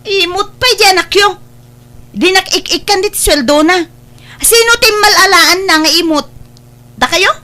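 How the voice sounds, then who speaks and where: A woman's voice: very high-pitched, normal speed, long pauses, bright, forceful tone. A woman with a Filipino accent playing a hot-tempered, confrontational young wife who scolds and taunts in a comedy drama.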